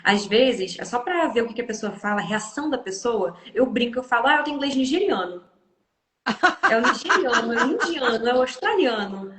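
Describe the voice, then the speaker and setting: A woman's voice: on the high side, lively intonation, brisk, a bit bright, echoey room. A woman with a Brazilian Portuguese accent, an English teacher explaining her views in an encouraging way as a guest on a live stream. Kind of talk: casual live-stream conversation.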